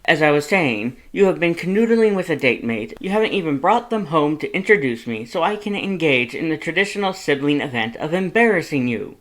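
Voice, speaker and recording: A man voicing a loud, fussy skeleton who is the younger brother, scolding emphatically in a fan fiction audiobook reading. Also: a little high, animated, a little fast, hardly any pauses, pitched above the performer's own voice. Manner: scolding, emphatic, rising indignation.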